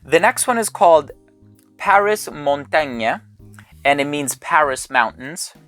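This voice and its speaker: A man's voice: a little high, lively intonation, unhurried, a bit bright, faint music behind. A man hosting a fragrance channel, chatting casually and thinking aloud while he smells each bottle. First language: English. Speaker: fragrance reviewer. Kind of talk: casual sponsored haul video.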